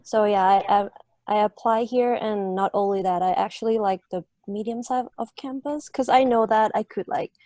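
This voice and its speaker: Female student panelist, early 20s, Thai accent, informal delivery, medium pitch, dry sound. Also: moderate pace, mellow, dry recording. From Thailand.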